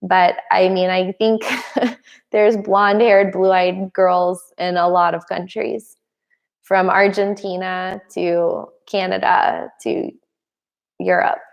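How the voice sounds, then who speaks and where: A woman's voice: on the low side, flat delivery, takes it slowly, creaky, lo-fi sound. A young adult woman hosting a casual live stream Q&A, reading out viewer comments and answering them with frequent laughs. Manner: laughs often between remarks.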